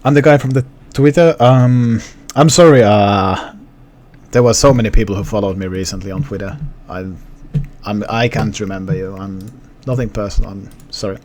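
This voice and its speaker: A man's voice: on the low side, moderate pace, darkish tone, a little hiss or hum. Young Finnish man chatting on stream. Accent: Finnish, partly suppressed. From Finland. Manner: rambling, restarts, talks to chat. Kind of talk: casual live-stream chat.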